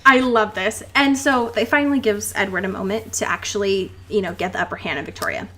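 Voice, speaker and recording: A woman's voice: mid-range voice, fairly even intonation, a little fast, pushed and bright. A young adult woman co-hosting a podcast, chatting informally and recapping a story with plenty of swearing and filler "like"s. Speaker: podcast co-host. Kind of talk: casual chat, recapping a novel.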